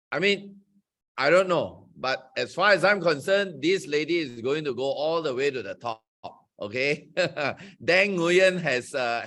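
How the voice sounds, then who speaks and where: A man with a somewhat high voice hosts a motivational talk. He speaks with energy and emphasis, his pitch swinging from high peaks down to low, quiet stretches. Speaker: host.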